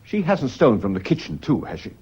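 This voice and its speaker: Husky, agitated husband. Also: male voice, mid-range voice, big pitch swings, fast, a little mellow. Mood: defensive, weary. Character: defensive, agitated husband accused of murdering his wife. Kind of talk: old-fashioned British radio drama acting. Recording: dull, lo-fi radio recording.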